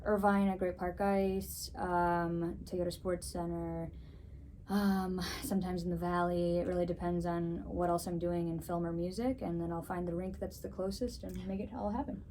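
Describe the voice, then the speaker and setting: Young woman guest, Greek first language, upbeat and chatty, slightly low voice. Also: steady tone, normal speed, mellow, a little hiss or hum. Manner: upbeat, chatty, frequent "so, yeah" wrap-ups. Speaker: guest, figure skater and musician. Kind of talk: casual interview answers.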